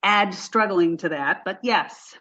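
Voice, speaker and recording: A grieving woman in her forties, played by an actress, speaks in a lowish voice. She is a struggling artist in a stage play, apologetic and halting, with feeling close to the surface. The sound is slightly dull. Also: fairly bright tone. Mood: apologetic, awkward. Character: Jillian, grieving forty-three-year-old woman, a struggling artist, apologetic.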